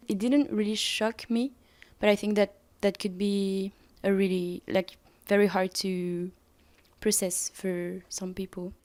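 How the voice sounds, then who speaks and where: A woman's voice: mid-range voice, darkish tone. A twenty-three-year-old woman from France co-hosting a podcast, reflecting with hesitant phrasing. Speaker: podcast co-host.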